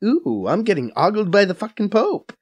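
A man's voice: high-pitched, moderate pace, breathy edge, pitched above the performer's own voice, exaggerated voice. A man voicing a brash, profane female undercover agent who teases and swears freely, in an audiobook. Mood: teasing. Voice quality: raised, lively, cocky voice. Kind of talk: audiobook character voice.

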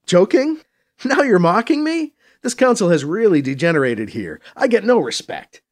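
A man's voice: high voice, sing-song, brisk, a little mellow, pitched above the performer's own voice. A man voicing an earnest, easily flustered male council leader in an audiobook, protesting and insistent. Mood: flustered, indignant.

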